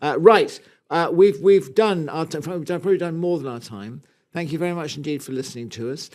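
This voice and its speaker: Higher-voiced Englishman, playful podcast host. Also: brisk, darkish tone. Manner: playful, teasing, dry humour. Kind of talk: casual chat, reading listener questions.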